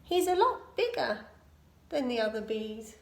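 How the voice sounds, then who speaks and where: Woman acting little storybook bees, very high, excitable, swooping intonation, wide-eyed exclamations. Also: a little slow, creaky, reverberant, slight background noise, heavily acted. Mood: curious, amazed.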